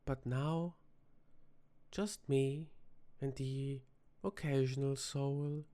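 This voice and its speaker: A performer voicing a flirty, teasing vampire tavern bartender in a soft-spoken ASMR roleplay, with dramatic pauses. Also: medium pitch, fairly even intonation. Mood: wry, inviting.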